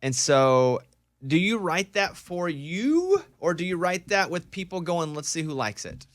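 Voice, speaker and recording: A man's voice: a little high, animated, a little slow, dry recording. A man from Arkansas hosting a radio show, interviewing a guest in a playful, teasing way.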